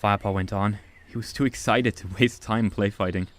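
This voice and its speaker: A man's voice: fairly low pitch, fairly even intonation, brisk. A man reading scripted story narration as an audiobook narrator.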